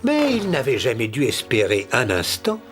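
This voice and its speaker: A man playing a wry storyteller, narrating a scripted cartoon tale in a measured, moralising way. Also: mid-range voice, wildly swooping pitch. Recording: faint music behind the voice.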